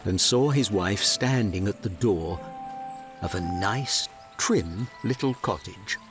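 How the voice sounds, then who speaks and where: Male narrator for fairy tales, lowish voice, unhurried and dramatic, music underneath. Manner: measured, dramatic storytelling. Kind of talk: scripted storybook narration.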